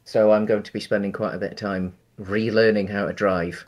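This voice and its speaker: A man's voice: lowish voice, little pitch movement, brisk. A man in his thirties co-hosting a podcast over a video call, rambling with many ums and restarts. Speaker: podcast co-host. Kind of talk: casual chat. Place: home room, video call.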